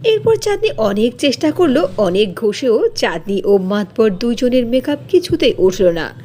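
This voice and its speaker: A woman's voice: on the high side, very animated, measured pace, mellow, a little hiss or hum. A woman telling a children's cartoon story as a scripted narration.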